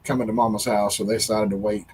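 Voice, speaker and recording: A man's voice: on the low side, little pitch movement, fast talker, a little mellow. A man with a Southern US accent co-hosting a livestream, telling rambling, joking stories in a folksy, casual way. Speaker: livestream co-host. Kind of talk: casual chat and storytelling. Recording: noticeable background noise.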